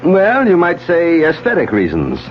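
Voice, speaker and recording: An actor playing an earnest, courteous middle-aged surgeon in a 1970s radio drama, explaining things in a measured way. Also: male voice, on the high side, wildly swooping pitch, soft background music. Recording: muffled 1970s AM radio broadcast.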